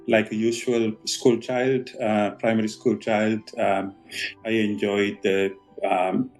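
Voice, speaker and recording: Hesitant Sri Lankan man, low voice. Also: darkish tone, rough recording. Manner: hesitant, many ums and uhs. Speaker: guest doctor. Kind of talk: podcast interview answers.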